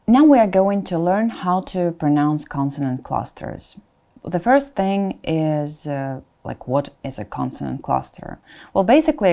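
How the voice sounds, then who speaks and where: A woman teacher giving a pronunciation lesson, explaining to learners in an encouraging way and sounding out example words deliberately. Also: sits low, vocal fry, mellow. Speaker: Russian language teacher.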